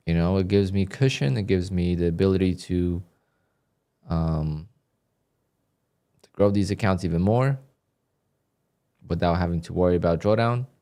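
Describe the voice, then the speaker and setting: A man with a very low voice talks his viewers through a live trading session. His delivery is flat and dry, and it sounds a touch irritated even when he says he is pleased. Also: moderate pace, long pauses. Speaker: day trader livestreamer. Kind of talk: live stream commentary, reading donations.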